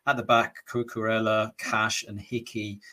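A man co-hosting a casual football podcast, giving steady, analytical takes and saying obviously a lot. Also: lowish voice, moderate pace. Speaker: podcast co-host.

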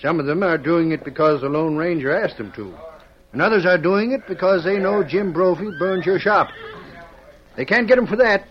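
A man playing a kindly, steady frontier judge in an old-time radio western, plain-spoken and reassuring. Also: high voice, moderate pace, close to an everyday voice. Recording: muffled vintage radio, busy crowd behind.

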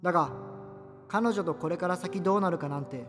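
An actor playing an awkward, apologetic young subculture nerd in a radio drama, with a hesitant, earnest, wordy way of talking. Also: male voice, sits high, fairly quick, soft background music, close to an everyday voice. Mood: resigned narration. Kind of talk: naturalistic radio drama dialogue and monologue.

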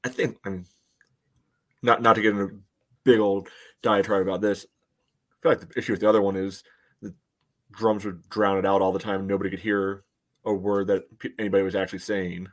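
A man with a fairly low voice co-hosts a sports podcast. He talks in a casual, conversational way, with informal phrasing. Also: flat delivery, a little fast, long pauses.

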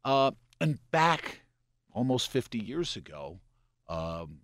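A man in his sixties from Pennsylvania, a radio program director, telling stories in a relaxed, explaining way with a slightly gravelly voice. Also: mid-range voice, slow pace, a little hiss or hum. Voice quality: gravelly from scotch and cigarettes. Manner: relaxed storyteller, explains with asides and "you know". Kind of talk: casual interview storytelling.

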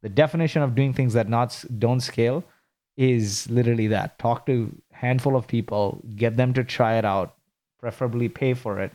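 Fast-talking founder pitching his company. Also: darkish tone. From Kuwait. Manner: fast, businesslike pitch with rhetorical questions. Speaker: startup founder. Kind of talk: interview answers.

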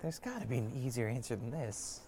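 A man's voice: medium pitch, expressive, a little fast, mellow, slight background noise, lightly acted. A man playing an earnest, doubtful young spellcaster in a fantasy audio drama, hesitant and questioning. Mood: unsure.

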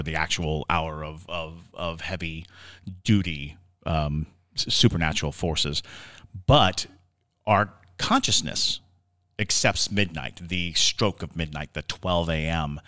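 Male co-host, very low voice, dry close sound, halting explainer. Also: sharp tone, dry recording. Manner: halting, explanatory, repeats words while forming thoughts. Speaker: podcast co-host. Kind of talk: conversational explaining of folklore.

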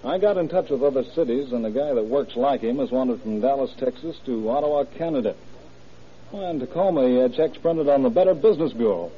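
A man's voice: medium pitch, animated, a little fast, lightly acted. Guy playing keen radio detective running the case, mid voice, snappy talk, dull old recording. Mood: brisk, decisive. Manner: snappy, slangy, decisive. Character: keen, authoritative police detective leading a manhunt. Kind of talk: old-time radio crime drama acting.

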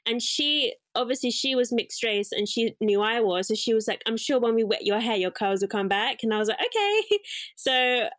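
A woman's voice: fairly high pitch, lively intonation, moderate pace, fairly bright tone, dry recording. Reflective young woman, dry close sound. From England. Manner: reflective, rambling with fillers and self-corrections. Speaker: guest, curly hair salon owner. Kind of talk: casual interview conversation.

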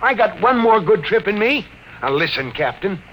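A man's voice: high voice, sing-song, normal speed, a bit bright, full character voice. An actor playing an eager, stubbornly hopeful veteran spaceship radio man, folksy and pleading, in a classic radio drama. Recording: noisy old radio recording.

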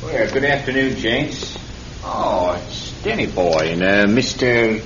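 A man's voice: fairly low pitch, animated, slow pace. An actor playing a genial, sly tobacconist who coaxes his customer in an old-time radio drama. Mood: genial, obliging. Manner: ingratiating, coaxing. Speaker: shopkeeper. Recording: dull, noisy vintage radio recording.

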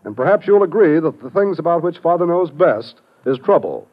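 Animated, higher-voiced American radio announcer man. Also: brisk. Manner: polished, formal. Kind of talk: 1950s network radio announcing, scripted. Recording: muffled vintage radio recording.

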